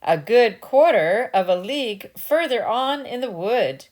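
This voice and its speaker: Woman as sweet little girl, raised lively pitch. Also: unhurried. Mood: trusting. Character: sweet trusting little girl, Little Red Cap.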